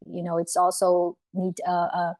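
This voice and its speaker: A woman's voice: fairly low pitch, monotone, a little mellow. Hesitant woman host on a video call. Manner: halting, many fillers and restarts. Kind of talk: casual video-call discussion.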